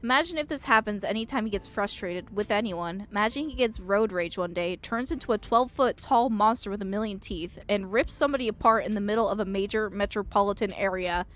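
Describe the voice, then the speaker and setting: A woman streamer giving excitable, giggly, expressive commentary while playing a visual novel and reading it aloud. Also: mid-range voice, a little fast, few pauses. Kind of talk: live game commentary, reading dialogue aloud.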